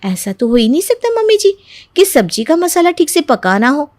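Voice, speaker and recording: Woman acting a very high, sugary young daughter-in-law. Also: very animated, normal speed, a little mellow, no room sound. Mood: mock innocent. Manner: sing-song mock innocence, eager and insistent. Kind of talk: animated moral story voice acting.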